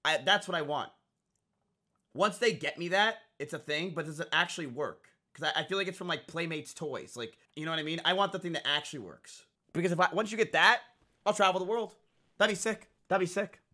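A man's voice: on the high side, pushed and bright. A young man streaming from his room on a headset, reacting with crude, rambling commentary. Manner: crude, rambling, fast bursts. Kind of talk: casual livestream commentary.

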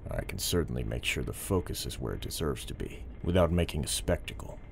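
Low, controlled police officer played by a man, firm and respectful, audio drama, light noise behind. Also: sing-song, a little fast, vocal fry, heavily acted. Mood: earnest, controlled.

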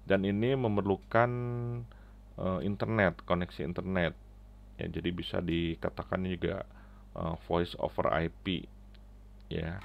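A middle-aged man giving a casual, halting, step-by-step app walkthrough into a headset mic. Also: low voice, fairly even intonation, vocal fry. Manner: halting, step-by-step explaining with frequent "ya" tags. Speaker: app reviewer and tutorial presenter. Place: indoors at a computer, keyboard clicks. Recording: slightly dull, lo-fi audio.

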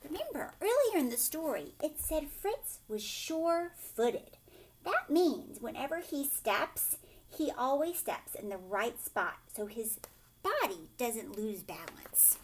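A woman reads a children's story aloud at an unhurried pace. Her voice sits fairly high and swings widely in pitch, with an instructive delivery that stops to explain and question. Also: a little hiss or hum. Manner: expressive, instructive, pausing to ask questions. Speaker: teacher reading a picture book aloud. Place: furnished living room, indoors.

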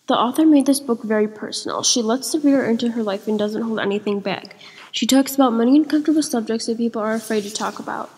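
Fast, breathy young woman in an echoey room. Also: fairly high pitch, darkish tone. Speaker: student presenter. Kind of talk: reading a book report aloud. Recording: echoey room with long reverb.

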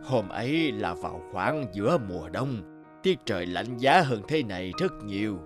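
A wistful old storytelling train. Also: male voice, fairly low pitch, big pitch swings, moderate pace, music in the background. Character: kindly old talking train, reminiscing storyteller. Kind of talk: children's cartoon storytelling.

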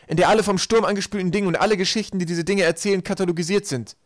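Bookish storybook boy, played by a man: lifted pitch, earnest, emphatic, insistent. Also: fairly even intonation, racing, no room sound, clean studio sound, lightly acted. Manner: emphatic, explaining.

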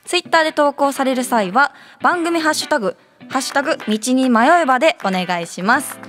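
High-voiced woman reading over music. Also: animated, brisk, a bit bright. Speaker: radio show assistant. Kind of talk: scripted announcement. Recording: music bed behind voice.

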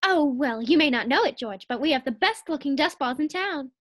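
A woman's voice: high-pitched, expressive, fairly quick. A woman playing a teasing scatterbrained young wife. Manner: teasing, coy. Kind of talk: 1940s radio acting.

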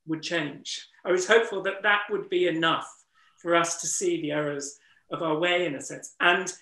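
A man with a fairly high, bright, ringing voice talks earnestly and reflectively over a video call, his voice a little strained from disuse. Room echo hangs around his words. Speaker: interviewer and wildlife trust ambassador. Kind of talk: webinar conversation. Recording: roomy echo.

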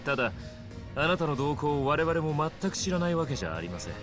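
A man's voice: a little high, very animated, a little fast, music in the background. An actor playing an elegant, vain male fighter and club owner in a video game, speaking with polished, formal theatricality. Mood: probing, smooth. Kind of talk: dramatic video game acting.

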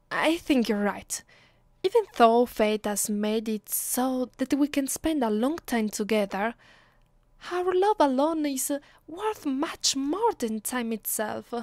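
Slow, tender Italian-accented vampire girlfriend, played by a woman. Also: high voice, dry recording. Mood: tender, loving. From Italy. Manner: soft, tender, teasing, intimate. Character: affectionate, playful young female vampire girlfriend. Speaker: voice actress. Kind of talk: ASMR girlfriend roleplay.

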